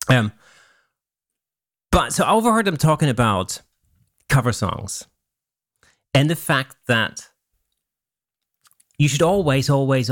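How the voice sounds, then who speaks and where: Lively German-born man hosting a podcast. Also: medium pitch, dry recording, clean studio sound. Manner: animated, laughs often, trailing restarts. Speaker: podcast host. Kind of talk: casual podcast chat.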